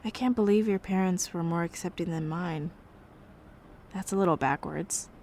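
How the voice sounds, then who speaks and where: An actress playing a caring, candid young granddaughter in an audio drama, speaking softly and casually with some hesitation. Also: female voice, fairly low pitch, normal speed, a little hiss or hum, natural voice. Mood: wry disbelief. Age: young adult.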